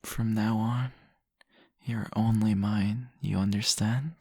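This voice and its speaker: A man playing a seductive, possessive vampire in an intimate ASMR roleplay, teasing and murmuring deliberately in a husky, gravelly voice. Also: lowish voice, steady tone, warm tone, no room sound, studio quality, exaggerated voice.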